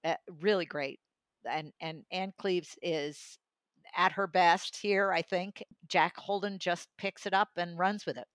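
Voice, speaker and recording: A woman reviews audiobooks in relaxed conversation. Her voice sits somewhat low and she takes her time, speaking slowly. The sound is close and dry. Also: dry recording. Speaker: audiobook reviewer and regular guest. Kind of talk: conversational book discussion.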